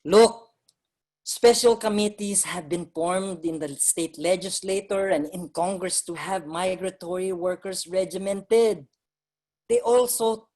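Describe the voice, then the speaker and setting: An actor playing an earnest Filipino migrant writer and activist, narrating dramatically in a staged reading. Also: male voice, high-pitched. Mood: rallying, urgent.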